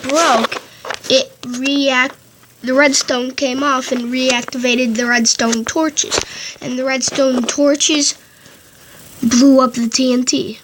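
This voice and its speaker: A woman's voice: high-pitched, steady tone. A woman narrating a casual game tutorial into a headphone mic, often stopping to correct herself. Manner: halting, frequent self-corrections and restarts. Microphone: headphones with built-in mic. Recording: loud background noise on headset mic.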